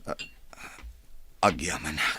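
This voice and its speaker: An actor playing a feverish young husband in a radio drama, speaking in weak, groaning murmurs. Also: male voice, slow pace, pushed and bright. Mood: weak, miserable. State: sick with fever.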